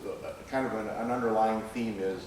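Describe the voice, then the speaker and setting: A man speaking as a screenwriter in a live question-and-answer session, with a halting, hesitant delivery. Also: on the low side, fairly even intonation, a little mellow. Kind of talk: live Q&A after a staged reading. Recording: echoey room, distant mic, faint noise.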